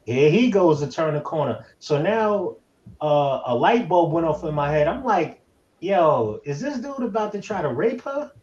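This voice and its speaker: Animated New man on a call. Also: on the high side, moderate pace, reverberant, lo-fi sound. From New York. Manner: animated storyteller. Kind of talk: casual chat.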